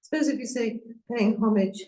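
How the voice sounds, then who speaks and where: A woman's voice: on the high side, moderate pace, mellow, distant mic. A woman in a class, asking curious questions with laughing asides and making announcements. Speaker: class student. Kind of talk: casual class discussion. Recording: echoey room with faint noise.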